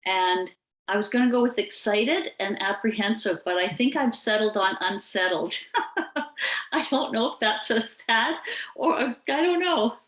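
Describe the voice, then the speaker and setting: A woman's voice: on the high side, lively intonation, unhurried, a bit bright, roomy sound, poor audio. A woman from British Columbia introducing herself on a group call with wry humour and laughter. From Cowichan Valley, Canada. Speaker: participant.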